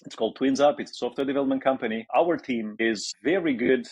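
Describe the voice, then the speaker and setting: A man's voice: medium pitch, fast, darkish tone, slightly rough, dry recording. A man in his forties from Romania with a Romanian accent, answering interview questions hesitantly with many fillers and restarts. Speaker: guest entrepreneur.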